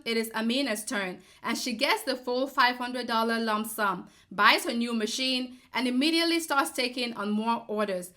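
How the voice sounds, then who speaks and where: A woman's voice: on the high side, sharp tone. A woman from Cameroon, a personal finance educator, giving a scripted, persuasive explainer full of rhetorical questions.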